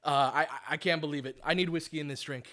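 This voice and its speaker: A man's voice: a little high, steady tone, fast talker, fairly bright tone. Man calling basketball play-by-play, informal. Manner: informal, partisan fan asides; explains tactics in detail. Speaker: play-by-play commentator. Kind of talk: live basketball play-by-play with coaching analysis.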